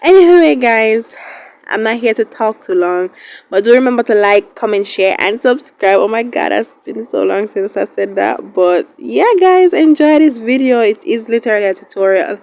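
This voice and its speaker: A woman's voice: fairly high pitch, lively intonation, normal speed, vocal fry, a little mellow. Chatty woman hosting a beauty vlog. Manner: informal, affectionate address to audience. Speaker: beauty YouTuber, host. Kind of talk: casual chatty vlog talk to viewers.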